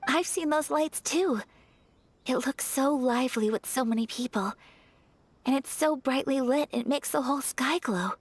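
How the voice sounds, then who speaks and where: A woman playing a refined young noblewoman in a video game, gentle and earnest, speaking softly in formal, measured phrases. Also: high-pitched, husky. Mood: wistful. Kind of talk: video game character acting. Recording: soft music behind the voice.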